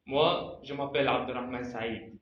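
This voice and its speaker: A man in his twenties from Egypt, a native Arabic speaker with an Egyptian Arabic accent, explaining things in a measured, teacherly way as a channel host. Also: mid-range voice, slight background noise. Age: 20s. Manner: slow, deliberate, teacherly, listing points. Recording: echoey room, slightly dull sound.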